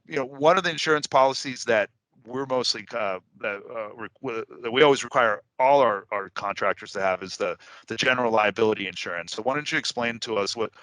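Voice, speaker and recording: Cleaning biz guy hosting an interview, bright tone, dry sound, loose chatty talk. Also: on the low side, brisk, no echo, poor audio. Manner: loose and chatty, with many fillers. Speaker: interview host, cleaning business owner. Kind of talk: casual interview.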